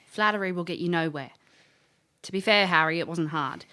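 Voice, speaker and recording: A woman's voice: fairly low pitch, a little fast, sharp tone. An actress playing a world-weary female elf, a former thief, dry and blunt in an audio drama. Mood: stern, matter-of-fact. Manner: dry, blunt, matter-of-fact.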